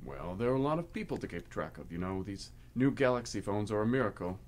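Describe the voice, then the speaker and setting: A man plays God as a mild, apologetic businessman in a radio comedy. His voice sits fairly low. He speaks conversationally, with small hesitations and a slightly fussy, easygoing temper. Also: expressive, fast talker, darkish tone, lightly acted. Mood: mild, chatty. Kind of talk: comedic radio play acting.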